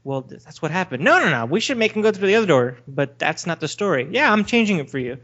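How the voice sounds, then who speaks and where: Male co-host, slightly high voice, blunt challenger in a casual debate, dull recording. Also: big pitch swings, fast, a bit bright. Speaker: podcast co-host.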